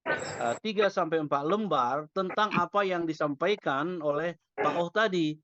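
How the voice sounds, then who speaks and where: A man's voice: a little high, moderate pace, a bit bright, no room sound. A man in an online meeting, making thoughtful, polite suggestions. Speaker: meeting participant. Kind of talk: casual online meeting discussion. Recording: dull lo-fi call audio.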